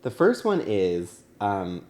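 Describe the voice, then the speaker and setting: A man with a fairly low voice chats casually as a podcast co-host. He rambles as he tells things, circling around and poking fun at himself. The style is loose, comic conversation. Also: huge pitch swings, slow, darkish tone.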